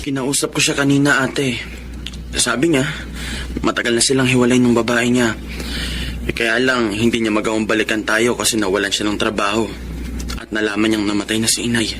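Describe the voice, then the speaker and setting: An actor playing a respectful, soft-hearted younger brother in a Filipino radio drama. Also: male voice, mid-range voice, little pitch movement, a little fast, long pauses, noisy background, natural voice. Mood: earnest, pleading. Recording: loud music bed under the voice.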